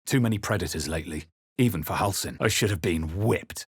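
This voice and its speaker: A man playing a wary, resolute fantasy adventurer in video game voice lines, with a measured, theatrical delivery. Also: deep voice, slightly rough, dry recording, studio quality. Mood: brooding, grave narration. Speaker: player character voice. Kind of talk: scripted video game voice lines, dramatic narration.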